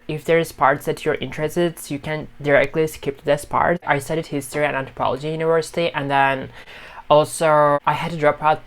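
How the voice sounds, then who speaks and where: A man's voice: fairly high pitch, a bit bright. A young man, chatting casually to camera in a vlog, rambling with lots of fillers. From Istanbul, Turkey. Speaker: vlogger. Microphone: phone.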